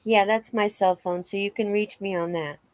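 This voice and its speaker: A woman reading the part of a calm, reassuring law officer in a dramatic reading of a witness interview. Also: mid-range voice, moderate pace, no room sound, clean studio sound, natural voice. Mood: matter-of-fact. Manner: matter-of-fact, reassuring.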